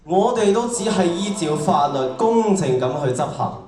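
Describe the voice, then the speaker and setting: A man's voice: high-pitched, lively intonation, normal speed, a crowd in the background. A man playing a stern, officious judge in heaven's court in a radio drama. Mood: stern, warning. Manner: officious, authoritative courtroom delivery. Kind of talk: stage radio drama acting. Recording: echoey hall, distant mic, music.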